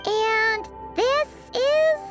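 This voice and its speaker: A woman's voice: extremely high pitch, extremely slow, music in the background, heavily acted. A woman voicing a tiny childlike fairy companion in a video game, outspoken and full of exclamations. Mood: curious. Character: tiny fairy companion, childlike, eager, chirpy, easily exasperated. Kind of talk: video game character voice acting.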